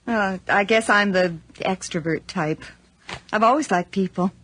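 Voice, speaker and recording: A woman's voice: mid-range voice, unhurried, fairly bright tone, lightly acted. An actress playing a chatty, nervous young city-girl student with a hesitant, rambling manner in a horror radio drama. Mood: friendly, chatty. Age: young adult. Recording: noisy radio drama recording with clicks.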